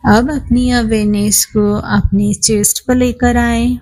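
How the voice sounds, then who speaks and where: A woman leads a guided body scan meditation as a yoga instructor, calm and soothing, leaving long pauses between phrases. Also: fairly high pitch.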